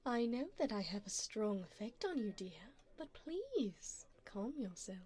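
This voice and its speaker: A woman playing a wealthy, seductive vampire in an ASMR roleplay, doting yet predatory, with a poised, formal, teasing and breathy delivery. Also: medium pitch, sing-song, unhurried, a little mellow. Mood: seductive, hungry. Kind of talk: ASMR roleplay, addressing the listener.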